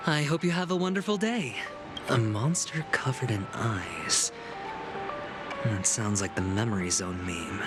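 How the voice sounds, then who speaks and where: A suave young game schemer with a very unhurried, lively, swinging delivery. He is playfully sardonic and smooth while under strain, with loud music playing behind him. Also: on the low side. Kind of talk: video game voice acting.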